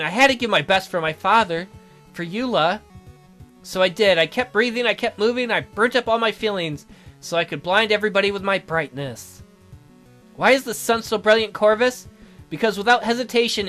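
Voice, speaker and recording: A man's voice: high-pitched, pushed and bright. A man voicing a weary young noblewoman who speaks in an earnest, confessional way. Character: weary, sorrowful young noblewoman, regretful ruler.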